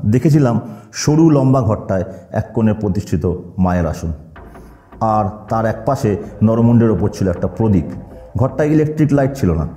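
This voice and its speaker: Dark-voiced man narrating horror. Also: mid-range voice, echoey room. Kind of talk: dramatic scripted audio story narration. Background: music.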